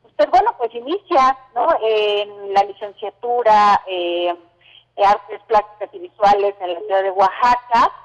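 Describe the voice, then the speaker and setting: A woman's voice: mid-range voice, a little slow, highs cut off. A woman hosting a community radio interview, chatty and full of fillers. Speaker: radio host. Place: radio studio.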